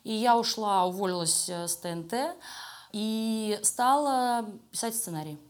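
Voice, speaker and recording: A woman's voice: a little high, expressive, a little slow. Middle-aged woman, candid interview guest. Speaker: interview guest, animation studio creative director. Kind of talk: conversational interview answers.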